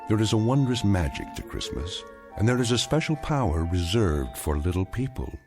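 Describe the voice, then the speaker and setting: Wry solemn radio narrator, lowish voice with lots of rise and fall, music behind. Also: male voice, big pitch swings, normal speed. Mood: solemn, benevolent. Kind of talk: scripted radio drama narration.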